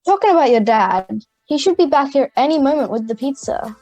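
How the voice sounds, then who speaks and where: A woman's voice: high voice, lively intonation, fairly quick, darkish tone, soft background music, low-quality recording. An Australian girl of ten or eleven playing an offhand, grumbling schoolgirl in an amateur radio play. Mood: matter-of-fact. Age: child, 10 to 11. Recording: home video-call recording.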